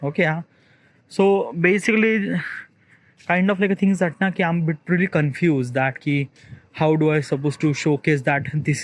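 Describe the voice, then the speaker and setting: A man, a content creator recording a solo spoken diary, thinking aloud in a hesitant, rambling way full of fillers and restarts. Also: a little high.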